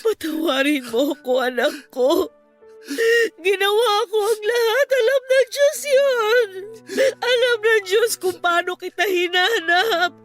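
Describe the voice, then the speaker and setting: A woman's voice: very high-pitched, a little slow, fairly bright tone, faint music behind. A woman playing an anguished, loving, middle-aged mother in a Filipino radio drama, with a tearful, pleading delivery. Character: anguished, loving mother reunited with her long-lost son.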